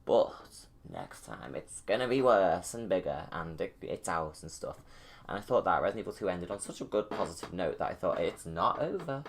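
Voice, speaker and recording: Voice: low-pitched, big pitch swings, moderate pace, vocal fry. A video creator giving casual, rambling commentary on a film in an enthusiastic, gushing fan's manner. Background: music.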